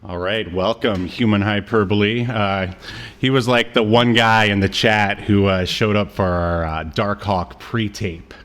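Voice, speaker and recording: A man's voice: on the low side, steady tone, unhurried, a bit bright. A man in his late thirties co-hosting a podcast in casual chat, with a jokey, wry manner. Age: late 30s. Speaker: podcast co-host. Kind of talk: casual chat about old cartoons.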